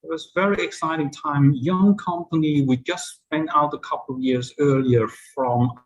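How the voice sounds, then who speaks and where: A man's voice: fairly high pitch, fairly even intonation, moderate pace, highs cut off. A man, giving a webinar talk about his career in a conversational way, with frequent fillers and restarts. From Hong Kong. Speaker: invited speaker. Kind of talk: webinar presentation.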